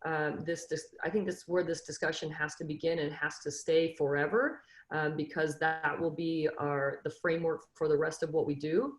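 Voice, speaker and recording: A woman's voice: low voice, flat delivery, moderate pace, hardly any pauses, echoey room. A woman in her fifties from Northern California, a football coach speaking on a video call panel in an earnest, motivational way, often restarting her sentences. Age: 50s. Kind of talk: casual panel discussion.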